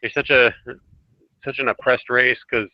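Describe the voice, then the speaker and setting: Muffled lo-fi call, guy with medium pitch chatting casually, no echo. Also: fairly even intonation, normal speed. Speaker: panelist. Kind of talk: casual political discussion. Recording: dull lo-fi online call.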